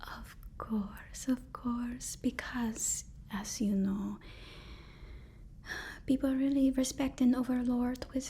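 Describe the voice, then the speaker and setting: A woman whispering an ASMR roleplay as a deferential, fussy personal assistant to a supervillain, speaking haltingly and repeating her phrases. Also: fairly high pitch, warm tone, slightly rough. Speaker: ASMR performer.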